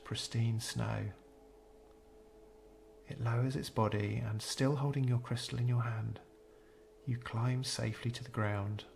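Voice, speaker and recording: Man narrating meditation with a creaky voice. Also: mid-range voice, a little hiss or hum. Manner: measured, soothing, gentle delivery with pauses. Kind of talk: scripted guided meditation narration.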